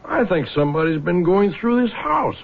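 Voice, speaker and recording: An actor playing a weary, troubled older father in a radio drama, with a halting, folksy way of talking. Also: high-pitched, sing-song, gravelly, phone-quality sound, exaggerated voice. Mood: uneasy. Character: weary aging widowed father, troubled and honest. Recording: thin band-limited old radio recording.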